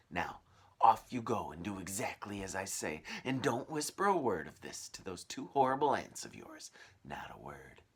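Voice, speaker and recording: Storybook old man acted by a male reader: mysterious, conspiratorial, pressing, low-voiced. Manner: hushed and conspiratorial, swelling to urgent commands. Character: mysterious old man urgently giving secret magical instructions. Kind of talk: children's storybook read-aloud.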